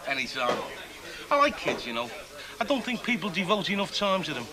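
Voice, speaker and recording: An actor playing a cheery working-class chancer with a Liverpool accent and the gift of the gab, chatty and wisecracking in a naturalistic drama. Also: male voice, high-pitched, very animated, fairly quick, crowd noise. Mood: wry, reflective.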